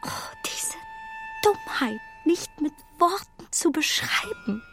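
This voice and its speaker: An actress playing a proud, self-reproaching young gentlewoman in a radio play, with emotional, deliberate phrasing. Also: high voice, big pitch swings, exaggerated voice. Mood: self-reproachful. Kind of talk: radio play acting, inner monologue. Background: music.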